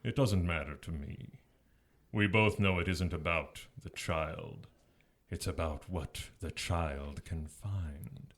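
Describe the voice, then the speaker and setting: A slow, raspy demon lord tempts in a bottomless deep voice. He is mocking and power-hungry, coaxing theatrically in audio drama fashion. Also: a bit bright, exaggerated voice. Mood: sly. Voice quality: husky, raspy.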